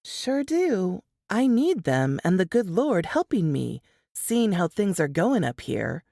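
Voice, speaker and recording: A woman acts out a young Southern singer in a period audiobook. She sounds earnest, worried and folksy. Her pitch moves widely and her pace is slow, recorded dry and close. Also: sing-song, vocal fry, dark tone, dry recording, full character voice. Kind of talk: dramatized audiobook dialogue.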